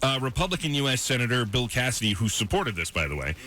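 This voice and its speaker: A man's voice: mid-range voice, sing-song, quick pace, pushed and bright, slight background noise. An American man co-hosting a radio show, explaining the news informally and paraphrasing as he reads, in a conversational back-and-forth style. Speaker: radio morning show news presenter and co-host. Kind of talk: conversational radio news rundown with co-host banter. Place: radio studio.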